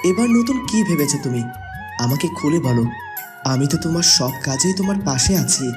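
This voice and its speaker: A man's voice: a little high, very animated, fairly quick, over music. A performer voicing a kind young prince praising his wife's plans. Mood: admiring.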